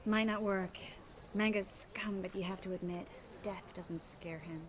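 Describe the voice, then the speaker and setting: An actress voicing a resolute young-sounding sorceress in a video game, speaking in an earnest, assured manner. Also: female voice, mid-range voice, steady tone, a little slow, background noise, natural voice. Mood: urgent, persuasive. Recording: music behind the voice.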